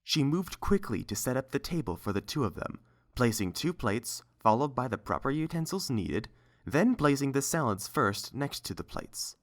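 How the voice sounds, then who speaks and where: A narrator reading a scripted story aloud as an audiobook, in a measured storytelling manner. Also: mid-range voice, very animated. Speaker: narrator and reader.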